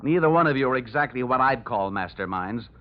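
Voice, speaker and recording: An actor playing a cocky, wisecracking American insurance investigator in a 1950s radio detective drama, wry and slangy. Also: male voice, medium pitch, lively intonation, fairly quick, close to an everyday voice. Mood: tough, mocking. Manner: wry, hard-boiled, slangy. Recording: dull vintage radio recording with music.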